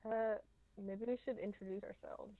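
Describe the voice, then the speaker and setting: A woman's voice: medium pitch, fairly even intonation, moderate pace, creaky, narrow, tinny audio. A woman chatting casually as a participant in a group stream. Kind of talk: casual chat.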